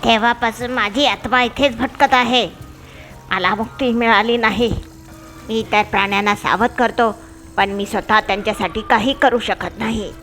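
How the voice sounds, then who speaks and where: Woman as a bright, plaintive donkey ghost. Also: on the high side, steady tone, moderate pace, soft background music, close to an everyday voice. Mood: mournful. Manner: mournful, plaintive. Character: sorrowful ghost of a male donkey, gentle warner. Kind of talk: animal storybook character voice.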